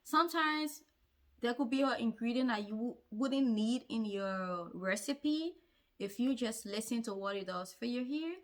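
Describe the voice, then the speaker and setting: A woman with a fairly high voice speaks as a hair-care vlogger. She tells her own story earnestly, stressing points and repeating phrases for emphasis. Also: unhurried. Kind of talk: personal story told to camera.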